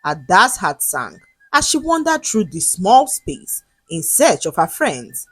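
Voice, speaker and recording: A woman tells a dramatic folktale in a husky voice that moves up and down expressively. It is recorded close and dry, with music underneath. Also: mid-range voice, sing-song, takes it slowly, fairly bright tone, no echo. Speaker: storyteller. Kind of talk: scripted dramatic folktale narration.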